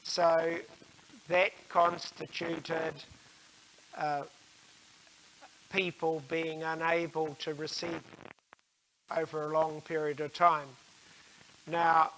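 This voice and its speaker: An older man giving an explanatory religious talk in a measured, halting way, with frequent pauses mid-sentence. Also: on the high side, flat delivery, fairly bright tone, a little hiss or hum, low-quality recording.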